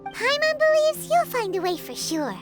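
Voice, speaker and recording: Tiny excitable fairy girl, very high squeaky voice, lively sing-song swoops, game music behind. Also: normal speed. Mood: encouraging. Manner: chirpy, refers to herself in third person. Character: tiny floating female fairy companion, excitable. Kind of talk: video game voice acting.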